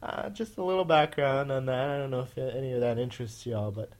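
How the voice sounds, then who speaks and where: A man's voice: mid-range voice, brisk, darkish tone. A man hosting a casual podcast, with a nasal voice, rambling with many fillers and restarts. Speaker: podcast host.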